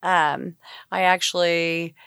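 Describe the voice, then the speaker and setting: An older woman with a raspy voice, a talkative interview guest telling stories in a rambling, casual way with fillers. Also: fairly low pitch, steady tone, slow, a bit bright, no echo, clean studio sound. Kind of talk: casual conversational storytelling.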